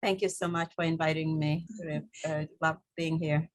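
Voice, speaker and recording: A woman with a Kenyan accent telling her story conversationally as an interview guest, in an informal, halting way with many "you knows". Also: sits low, level tone. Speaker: interview guest, holistic health coach.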